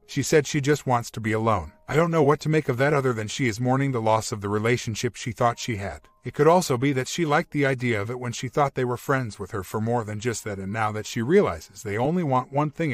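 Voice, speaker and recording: A man's voice: medium pitch, dry recording. A man reading a first-person personal story aloud as scripted narration. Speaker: narrator reading online relationship stories.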